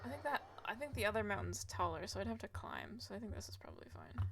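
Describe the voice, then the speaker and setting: A woman's voice: medium pitch, huge pitch swings, fast, gravelly, slight background noise. A woman livestreaming a game with casual, hesitant, rambling commentary.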